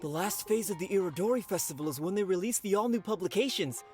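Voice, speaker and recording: A young male book fan from a video game, with a high voice for a man. He sounds keen and admiring as he gossips, with faint music behind him. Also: lively intonation, quick pace. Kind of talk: video game character acting.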